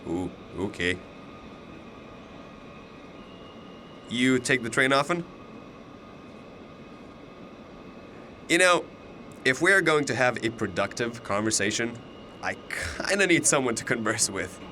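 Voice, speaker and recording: A man's voice: lively intonation, moderate pace, sharp tone. A man acting in a naturalistic audio drama, talking in a casual, slangy way. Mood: chatty. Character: young male university student commuter confronted by chatty stranger. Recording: faint train noise. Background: subway car rumble.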